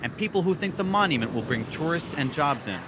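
A man's voice: a little high, expressive, fast talker, noisy background. A man narrating a travel news feature in scripted voice-over, with wry, dry humor. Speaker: travel show host and narrator.

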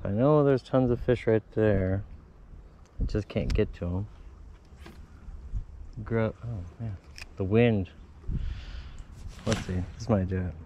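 Mellow-voiced man fishing, lo-fi camera audio. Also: on the low side, takes it slowly, long pauses, a little hiss or hum. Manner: chatty, talks to viewers while casting. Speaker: fishing vlogger. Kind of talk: casual play-by-play while fishing. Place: outdoors by a pond. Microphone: head-mounted action camera. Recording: dull lo-fi outdoor camera audio.

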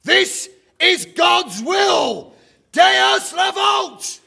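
A man playing a fervent medieval preacher in a historical radio drama, declaiming a drawn-out call to holy war. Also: very high voice, big pitch swings, gravelly, bright, forceful tone, shouting, heavily acted. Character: fervent medieval crusade preacher rallying knights.

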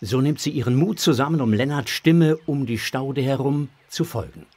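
A man with a husky voice, narrating a scripted children's story expressively. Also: mid-range voice, moderate pace, a little mellow.

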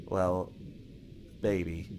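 Man acting an awkward, slow-talking young mole. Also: low-pitched, level tone, a little mellow, slight background noise. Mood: defensive. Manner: hesitant, flustered, sheepish. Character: awkward, anxious young male mole with a crush. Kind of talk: comedic audio drama acting.

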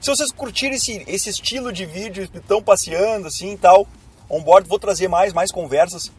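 Chatty hoarse southern Brazilian man driving. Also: sits high, normal speed. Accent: southern Brazilian, Rio Grande do Sul. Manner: chatty, addresses viewers directly. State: hoarse with a cold. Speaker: YouTuber. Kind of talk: casual driving vlog chat. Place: inside a car in city traffic. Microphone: camera mounted on dashboard.

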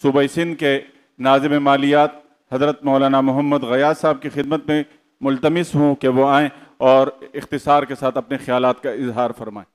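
A man formally introducing the next speaker at an event, in a measured way. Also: mid-range voice, flat delivery, clean tone. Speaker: stage announcer.

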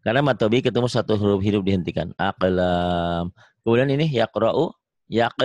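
A lowish-voiced man with a Sundanese accent leads a reading lesson, stretching each syllable long and slow before correcting and praising. The sound is dry and close, with no room echo. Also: a little mellow. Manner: drills syllables slowly, drawn out, corrects and praises. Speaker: Quran reading teacher. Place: home, on a video call.

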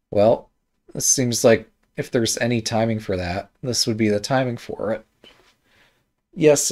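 A man reviewing a board game, thinking aloud in a hesitant, musing way with many pauses and trailing thoughts, with a slight Midwest American accent. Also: fairly low pitch, a little mellow. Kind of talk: casual game commentary while thinking aloud.

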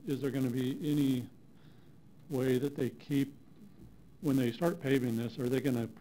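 A man's voice: mid-range voice, warm tone, poor audio. A middle-aged man, a resident giving casual public comment at a podium microphone in a council chamber. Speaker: resident speaking at a public hearing. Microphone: gooseneck podium microphone.

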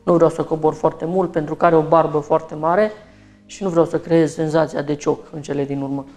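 A man's voice: on the high side, steady tone, darkish tone, faint music behind. A man in his thirties from Romania, a barber who explains each step of a haircut while he works, talking through it in a small shop.

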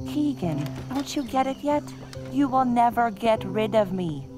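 An actress playing a bitter, sarcastic wife who taunts and clings in a horror drama. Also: female voice, fairly high pitch, animated, measured pace, over music. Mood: bitter, possessive. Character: scornful, bitter, clinging wife, mocking and venomous. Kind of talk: scripted audio horror drama.

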